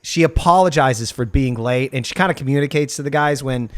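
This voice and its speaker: A man's voice: medium pitch, a little fast. A man co-hosting a casual comedic TV recap podcast, talking in a blunt, wry way. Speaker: podcast co-host.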